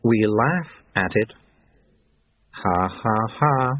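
A man voicing a menacing, humourless male villain with slow, clipped commands in an audiobook. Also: fairly low pitch, animated, husky, dry recording, full character voice. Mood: mirthless. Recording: thin phone-like band.

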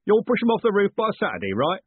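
Man playing a blunt, sardonic, husky tinkerer. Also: high-pitched, huge pitch swings, quick pace, no echo, voice pushed up, exaggerated voice. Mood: mocking. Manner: blunt, dry. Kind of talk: audiobook character voice. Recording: narrow phone-like band.

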